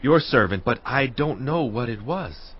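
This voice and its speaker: An actor playing an eager, insistent young messenger in an audio Bible drama. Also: male voice, mid-range voice, animated, measured pace, husky. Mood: breathless reverence. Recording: dull lo-fi audio.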